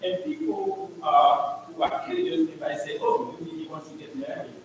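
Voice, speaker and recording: A Haitian-accented priest, middle-aged, addresses his church in a slow, halting way, his pitch rising high and swinging widely. He sounds far from the mic, with a long echo filling the large hall. Faint room noise sits behind him. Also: huge pitch swings. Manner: slow, halting, with many restarts and fillers. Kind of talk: introducing himself to the congregation.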